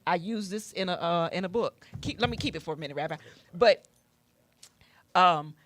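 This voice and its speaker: A woman's voice: fairly low pitch, normal speed, fairly bright tone. An American woman in a conversational radio discussion, speaking as a guest with an emphatic, direct manner. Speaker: panel guest, author and doctor.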